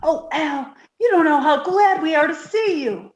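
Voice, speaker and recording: A woman's voice: high voice, lively intonation, a little slow, roomy sound, background noise. A woman playing a sweet, naive, scatterbrained young secretary in an old-time radio comedy, earnest and easily distracted. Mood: eager, admiring.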